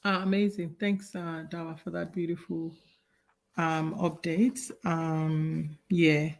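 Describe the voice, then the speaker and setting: A woman's voice: deep voice, flat delivery, slow. A woman hosting an online panel discussion over a video call, talking earnestly with many fillers. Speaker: host.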